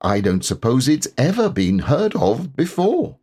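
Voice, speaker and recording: A man voicing a gentle, earnest, slightly shy male bear in a storybook, speaking thoughtfully and a little hesitantly. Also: medium pitch, wildly swooping pitch, unhurried, voice pushed up.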